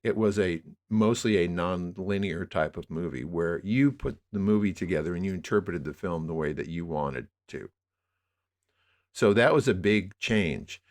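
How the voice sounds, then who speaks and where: An older American man talking reflectively as an interview guest, in a halting, rambling way that circles back on his phrases. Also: deep voice, lively intonation, darkish tone. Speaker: interview guest, media producer.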